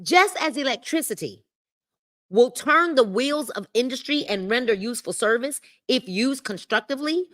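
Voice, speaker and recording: A woman's voice: a little high, animated, normal speed, fairly bright tone. A woman hosting a live-streamed book club, reading a self-help book aloud and breaking in with emphatic commentary. She repeats key phrases and speaks directly to her viewers in the chat. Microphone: livestream mic.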